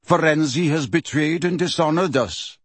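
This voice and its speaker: Man doing a proud, cold centaur chief; slow, smooth, stern. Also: fairly high pitch, no echo, pitched above the performer's own voice. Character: proud male centaur leader, calm and cold.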